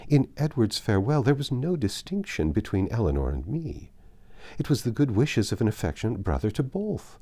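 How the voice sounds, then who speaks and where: Passionate seventeen-year-old period heroine , played by a male narrator, emphatic, highly animated, soft-edged tone. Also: full character voice. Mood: incredulous, dramatic. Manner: earnest, emphatic, formal period speech.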